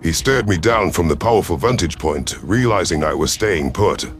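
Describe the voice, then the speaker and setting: A man reading dramatic first-person science fiction narration in a measured manner. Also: sits low, lively intonation, faint music behind. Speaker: audio story narrator.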